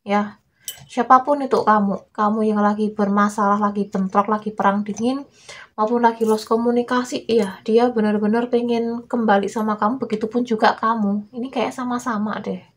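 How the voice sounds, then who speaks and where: A young woman with a cold, reading tarot in a casual, reassuring way. Her voice is small and hoarse, and she speaks softly, drawing out her words. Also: medium pitch, fairly even intonation. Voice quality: weak, small voice. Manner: soft, reassuring, drawn-out. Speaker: tarot reader.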